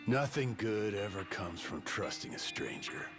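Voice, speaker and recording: An actor playing a proud, gruff middle-aged father, protective and distrustful, in a video game cutscene. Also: fairly low pitch, expressive, measured pace, over music. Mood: stern. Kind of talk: English video game dub acting.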